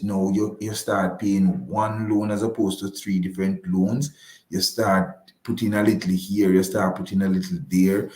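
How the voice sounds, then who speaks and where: A low-pitched male voice, recorded in a roomy, echoing space. He talks like a guest adviser on a live radio show, stressing his points by repeating key phrases. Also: dark tone. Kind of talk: conversational advice on a live broadcast.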